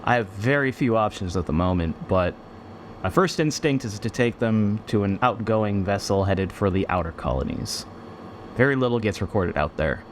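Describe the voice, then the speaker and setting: A man playing a weary, defiant military commander in a sci-fi audio drama, speaking emphatically and deliberately. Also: fairly low pitch, lively intonation, a little hiss or hum, close to an everyday voice. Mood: guarded, urgent.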